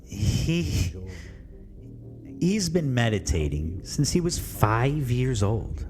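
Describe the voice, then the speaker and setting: A man's voice: mid-range voice, very animated, slow, darkish tone, faint music behind, voice pushed up. Man playing a sardonic, confiding inner-voice narrator. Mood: wry, mocking. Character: mocking, chatty inner-self narrator, gleefully belittling his host.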